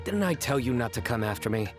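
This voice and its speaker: A man's voice: mid-range voice, close to an everyday voice. A man playing a stoic, reserved young lawman in a video game, speaking tersely and formally over music. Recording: music behind the voice.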